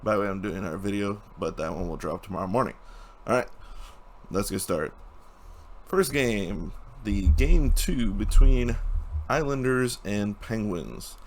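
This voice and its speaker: Hoarse young man recapping hockey. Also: on the low side, expressive, a little slow, slight background noise. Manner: casual, reads stats, chuckles at times. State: hoarse, recovering from a cold. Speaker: hockey channel host. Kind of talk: casual game recap.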